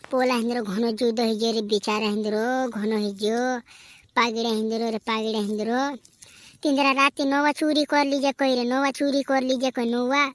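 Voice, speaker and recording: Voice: sits high, fairly bright tone. A performer voicing a chatty, fretful village cartoon character with a rural western Madhya Pradesh accent, repeating phrases in comedy dialogue. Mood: worried. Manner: repetitive, chattering.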